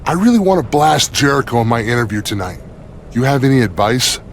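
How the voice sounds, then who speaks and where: A huge, friendly wrestler from a video game, eager to please. His middle-pitched voice moves up and down a lot, over faint noise. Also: sing-song, fairly quick.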